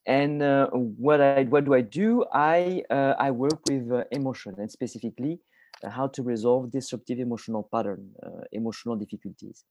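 A man's voice: medium pitch, darkish tone, no room sound. A man in his forties from France with a French accent, a podcast guest who halts and searches for his words as he explains. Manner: halting, searching for words mid-sentence. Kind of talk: conversational interview answers.

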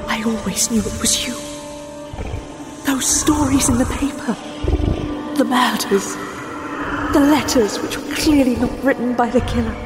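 An actress playing a murdered wife returned from the dead, reproachful and mournful, speaking slowly with tender menace in a horror audio drama. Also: female voice, on the high side, close to an everyday voice. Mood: mournful accusation. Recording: long hall reverb under music.

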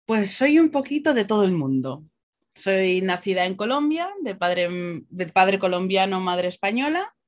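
A woman's voice: medium pitch, normal speed. Chatty woman. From Basque Country, Spain. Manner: chatty, animated retelling with quoted dialogue. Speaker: podcast guest. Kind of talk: casual personal storytelling.

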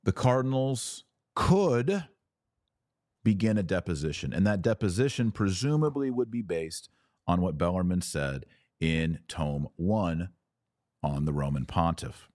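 A man hosting a religious commentary podcast, reading passages aloud and speaking in deliberate, emphatic phrases with rhetorical questions. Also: deep voice, animated, darkish tone, no room sound, studio quality. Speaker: podcast host and author.

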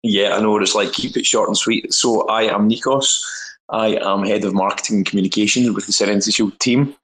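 A man with a Scottish accent, head of marketing, introducing himself in a measured, relaxed way. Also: on the low side, breathy edge, low-quality recording. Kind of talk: casual panel chat.

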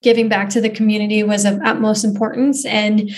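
A woman's voice: mid-range voice, little pitch movement, a little fast. A woman, giving earnest, thoughtful answers as an interview guest, often saying "you know" and "um". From Canada. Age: 20s. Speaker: guest, footballer and activist.

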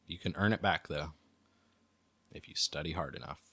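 Man, low pitch, bright sharp tone, quizmaster role, easygoing, casual podcast chat. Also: normal speed, husky. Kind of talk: casual chat, reading quiz questions aloud.

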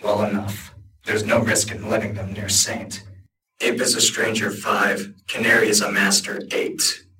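A man reading a guarded, formal male armored hero in an audiobook, speaking in a measured way. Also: lowish voice, little pitch movement, pushed and bright, far from the mic, low-quality recording. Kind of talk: audiobook character voice.

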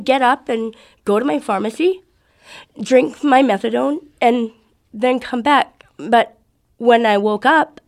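Outspoken woman, earnest interviewee. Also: on the high side. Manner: outspoken, earnest, loud-voiced. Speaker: activist interviewee. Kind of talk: casual interview.

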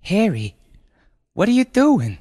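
A man voicing an anxious, protective mother in a story, with a breathy, pleading urgency. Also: mid-range voice, very animated, unhurried, pitched above the performer's own voice. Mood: alarmed.

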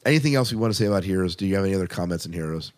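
Man, low-pitched voice, podcast host, casual chat, conversational review style. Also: expressive, racing.

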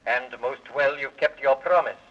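A man's voice: mid-range voice, normal speed, natural voice. An actor playing a solemn talking magic mirror that declaims in rhyme for a witch in a 1930s radio serial. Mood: solemn, declaiming. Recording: muffled 1930s radio recording.

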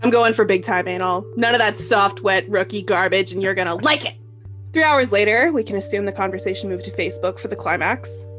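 A woman's voice: medium pitch, fairly quick, fairly bright tone, faint music behind. A woman narrating with brash, sarcastic comedic asides. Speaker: narrator and wisecracking commentator.

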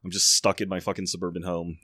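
Man, very deep voice, podcast host, rambling casual talk, dry close mic. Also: flat delivery, fast talker, sharp tone, no room sound. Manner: rambling, self-interrupting.

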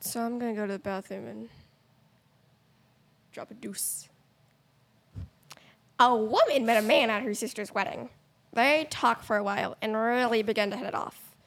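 A woman playing a cheeky, riddle-loving comic book character in a youth audio play. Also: a little high.

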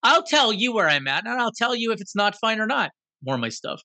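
A man in his fifties from Guyana, telling a personal story in an animated, reflective, self-deprecating way. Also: high voice, brisk, dry recording. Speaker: guest.

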